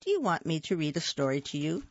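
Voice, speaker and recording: A woman reading the lines of a patient, know-it-all big sister in a children's storybook, gently explaining things. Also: low-pitched, sing-song, fast, no echo, dull audio, full character voice.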